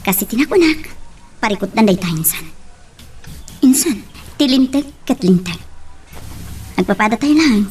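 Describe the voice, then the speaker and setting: A woman's voice: on the high side, lively intonation, normal speed, long pauses, a little mellow, a little hiss or hum, natural voice. An actress with an Ilocano accent playing an earnest, insistent young policewoman in a radio drama. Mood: concerned.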